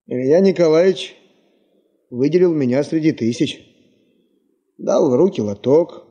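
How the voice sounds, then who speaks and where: An actor playing a humble, devoted gold prospector in a 1970s Soviet radio drama, restrained and self-effacing. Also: male voice, fairly high pitch, expressive, slow pace, pauses often, warm tone, husky, exaggerated voice. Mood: humble, reserved. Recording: dull, hissy 1970s radio play recording.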